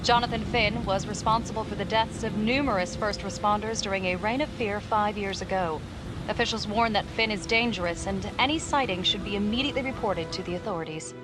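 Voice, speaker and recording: Woman acting as a serious news reporter in a game, medium pitch, formal, loud music under her. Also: brisk, close to an everyday voice.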